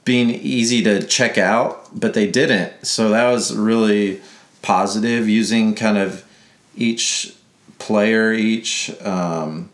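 A man's voice: lowish voice, fairly even intonation, takes it slowly. A young man from Mississippi co-hosting a casual sports podcast, rambling and correcting himself with a playful streak. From Mississippi, United States. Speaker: podcast co-host. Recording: echoey room.

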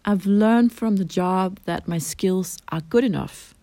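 A middle-aged Danish woman with a Danish accent, answering interview questions in a measured way with frequent ums and restarts. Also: mid-range voice, dark tone, no echo. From Denmark. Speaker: translator interviewee.

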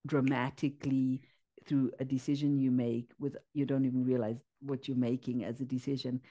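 A woman from Zimbabwe speaks as a podcast guest, with a warm, mellow tone. She is earnest and searches for her words, filling her pauses with fillers. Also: extremely low pitch, flat delivery. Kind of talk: casual interview, personal story.

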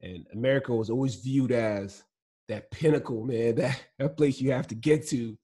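A man's voice: medium pitch, normal speed, warm tone, slightly rough. A man from Nigeria whose first language is Igbo, telling his story as a guest in an earnest, easygoing way and laughing between points. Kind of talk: casual interview talk.

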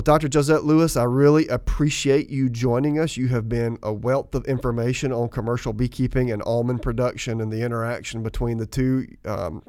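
A man's voice: mid-range voice, darkish tone, no echo. Chatty male podcast host, dry close sound. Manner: friendly, chatty, joking. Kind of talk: casual podcast chat.

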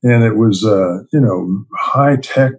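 A man's voice: fairly low pitch, slow pace, darkish tone. A middle-aged man from New Jersey, an interview guest who tells rambling, self-deprecating stories about his work and chuckles often. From Princeton, New Jersey, US. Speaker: interview guest, theme park event writer and director. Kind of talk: casual storytelling interview.

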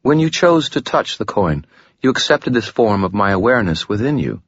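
A poised female demon speaks with formal courtesy and a quiet, amused ease, as a man lifts his voice to play her. The tone sits well above a man's usual range but stays husky. It comes from a dry, slightly dull audiobook recording. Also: lowish voice, sing-song, moderate pace, dry recording, pitched above the performer's own voice, heavily acted. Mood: serene. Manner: gentle, formal, softly amused.

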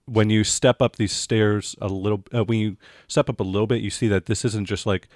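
A man with a fairly low voice acts as game master, describing scenes off the cuff and haltingly. The sound is dry and close. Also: steady tone, darkish tone, no echo. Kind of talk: improvised descriptive game narration.

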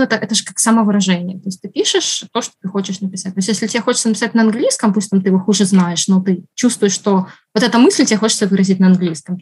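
A woman's voice: mid-range voice, darkish tone, a little hiss or hum. A woman guest on a podcast, chatting casually and laughing often as she talks, with many restarts along the way. Russian is her first language. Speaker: podcast guest, writer and journalist.

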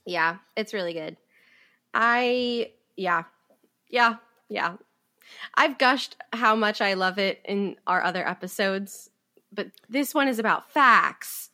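A woman's voice: mid-range voice, bright, forceful tone. Giggly young woman podcast host. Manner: bubbly, giggly, quick to laugh. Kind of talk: casual podcast chat.

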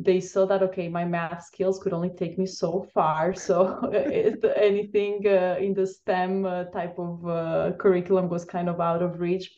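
Low-voiced woman from Albania. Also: a little mellow, muffled recording. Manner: reflective, with frequent "uh" pauses. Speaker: guest. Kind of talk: interview storytelling.

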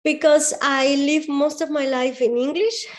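A woman with a Spanish accent speaks English as a second language in a relaxed interview. She talks about her work as a movement coach in an earnest, thoughtful way, often stopping to find the right word. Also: high voice, measured pace. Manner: searching for words, thoughtful, earnest. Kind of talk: casual interview talk.

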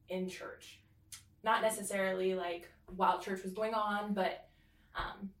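A woman from Hawaii, California and American Samoa, telling her personal story casually as a guest, hesitant and a little self-conscious. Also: mid-range voice. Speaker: interview guest. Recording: echoey room, distant from mic.

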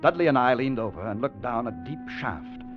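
An actor in an old radio drama playing a tense, haunted adventurer telling of his expedition, dramatic and at times halting. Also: medium pitch, very animated, over music, natural voice. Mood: tense, suspenseful. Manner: dramatic, hesitant and halting in dialogue. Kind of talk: 1940s-50s radio drama narration and acting. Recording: dull old radio recording.

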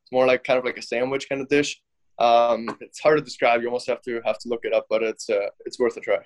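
Male athlete guest: laid-back, halting, flat delivery. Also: mid-range voice. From born in Finland, German citizen. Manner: relaxed, hesitant, many fillers and restarts. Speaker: professional hockey player, interview guest. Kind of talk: casual interview answers.

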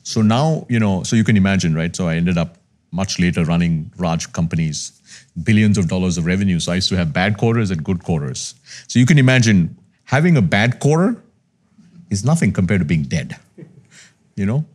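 A man's voice: low-pitched, lively intonation, a little fast, darkish tone. A man in his fifties from Sri Lanka, a podcast guest telling his life story in an earnest, reflective way. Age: 50s. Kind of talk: candid conversational storytelling.

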